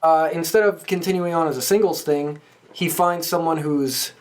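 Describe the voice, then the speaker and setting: A man co-hosting a podcast, chatting informally and haltingly about wrestling. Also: a little high. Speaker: podcast co-host. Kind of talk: casual wrestling discussion.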